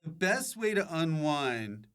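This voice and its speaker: A man hosting a podcast in casual chat, speaking in a halting, easygoing way. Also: a little high, big pitch swings, fairly bright tone, clear, resonant tone, faint music behind. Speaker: podcast host.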